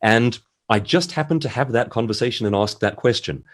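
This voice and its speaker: A man's voice: mid-range voice, fairly quick. A South African man giving conversational, articulate advice as a guest speaker on a video call. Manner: articulate, explanatory, acts out short quotes. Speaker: author, seminar guest speaker. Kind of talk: conversational expert advice in an online seminar.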